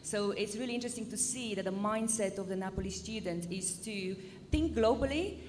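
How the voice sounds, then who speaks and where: A woman who works in university recruitment, answering a panel question in a measured way with frequent fillers. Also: medium pitch, darkish tone, slight background noise. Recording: reverberant hall.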